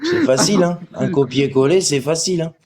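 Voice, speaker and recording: A man's voice: on the high side, big pitch swings, a little fast, a little mellow, breathy edge. Crude, confrontational man on a livestream. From Portugal. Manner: crude, abusive, confrontational, swearing. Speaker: live stream participant. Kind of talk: livestream chat replying to commenters.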